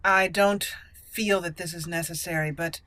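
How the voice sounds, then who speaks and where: A woman's voice: fairly low pitch, fairly even intonation, normal speed, sharp tone, dry recording. A woman voicing a proud young dwarven noble lady in formal, imperious speech for a fantasy game roleplay. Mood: indignant, proud. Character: haughty young dwarven noble lady, elven-born, self-assured.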